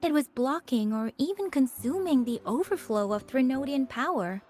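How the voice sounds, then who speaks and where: An actress playing a calm young woman in a game who explains things in a measured, unhurried way. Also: high-pitched. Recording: game dialogue with soft music.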